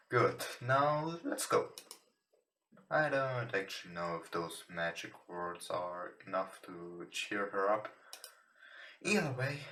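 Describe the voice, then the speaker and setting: A man who is not a native English speaker, reading a visual novel aloud with casual commentary in a somewhat halting way. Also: lowish voice, sharp tone, a little hiss or hum. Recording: roomy, echoing home recording. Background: game music.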